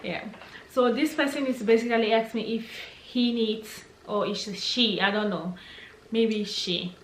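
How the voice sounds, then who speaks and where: A woman's voice: fairly high pitch, steady tone, measured pace. A young woman, chatting casually and encouragingly as she answers viewer questions, thinking aloud as she goes. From Cameroon, English-speaking region. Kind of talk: casual Q&A chat. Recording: echoey room with faint noise.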